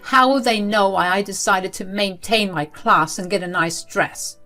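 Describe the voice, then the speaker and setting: A woman's voice: mid-range voice, moderate pace, bright, forceful tone, faint music behind, natural voice. A woman voicing a haughty, entitled middle-aged mother-in-law in a melodramatic, accusatory way, as in a told story. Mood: snobbish.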